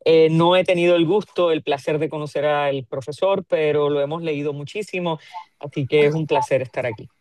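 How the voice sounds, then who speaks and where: A woman's voice: deep voice, fairly quick, darkish tone, no room sound. A woman with a Puerto Rican accent, a theology professor, introducing herself in a friendly, collegial way. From Puerto Rico. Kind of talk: casual self-introduction.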